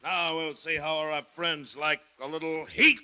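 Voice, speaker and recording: A man's voice: fairly high pitch, steady tone, unhurried, bright, forceful tone, clean tone. A man acting a defiant plantation owner in a 1940s radio drama, commanding and clipped in his delivery. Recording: noisy restored 1940s radio recording.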